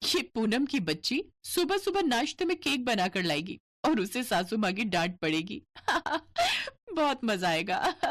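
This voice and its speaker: Woman voicing a taunting, high-pitched scheming sister-in-law. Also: big pitch swings, unhurried. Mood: gleeful scheming. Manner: taunting, bossy, cackling. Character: jealous, scheming, haughty elder sister-in-law, adult woman.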